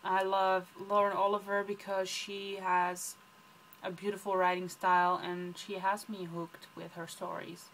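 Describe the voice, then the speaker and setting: A woman's voice: mid-range voice, flat delivery, a little slow, a bit bright, slight background noise, poor audio. Chatty Dutch-accented young woman vlogging. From Netherlands. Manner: chatty, rambling, many ums. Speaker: book vlogger. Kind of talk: casual chat answering tag questions.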